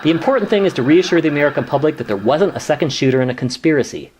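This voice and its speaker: A man's voice: on the high side, expressive, very fast, a little mellow, breathy edge. A man playing an earnest, flustered government commission staffer who protests and rationalizes in a sitcom radio play. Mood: insistent, confident. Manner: exasperated, protesting.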